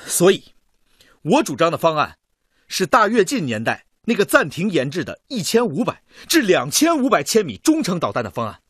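An actor playing an earnest missile designer in a radio drama, arguing his bold plan to a meeting in an emphatic, deliberate way. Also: high-pitched, huge pitch swings, slightly rough, no echo, studio quality, heavily acted. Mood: earnest, insistent. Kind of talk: radio drama acting, formal speech to a meeting.

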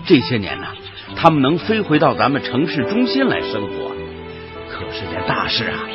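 Genial conservation official, medium pitch, hugely varied intonation, knowledgeable radio drama explainer. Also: male voice, wildly swooping pitch, normal speed. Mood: earnest, enthusiastic. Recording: dull radio drama with clear music behind.

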